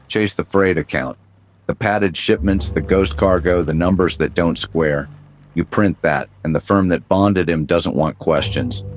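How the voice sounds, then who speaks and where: A man narrating as a world-weary private detective, deadpan with dry wisecracks, in a 1930s-style noir radio drama. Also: rumbling low, a little hiss or hum.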